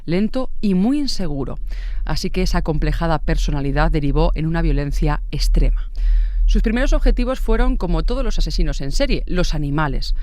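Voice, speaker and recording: Dry-voiced Spanish true-crime narrator. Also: male voice, on the high side, smooth, dry recording. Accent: Spain Spanish. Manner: conversational, with rhetorical asides. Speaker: podcast host.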